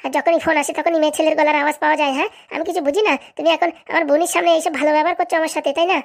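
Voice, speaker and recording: Shrill, rapid-fire nagging cartoon wife voiced by a woman. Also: flat delivery, creaky. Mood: accusing, suspicious. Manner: scolding, accusatory, rapid-fire haranguing. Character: bossy, nagging, domineering wife of a village politician. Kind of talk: comic cartoon voice acting.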